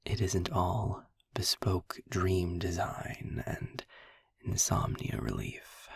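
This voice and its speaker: Man voicing a husky, whispering sardonic demon. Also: very animated, no echo, heavily acted. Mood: ominous, measured. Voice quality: low, breathy, gravelly near-whisper. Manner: sarcastic, wordy, deliberate storytelling with dramatic pauses. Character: sardonic empathic male demon, unhurried and darkly amused. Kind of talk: intimate scripted audio roleplay. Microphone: close microphone in a dry, quiet space.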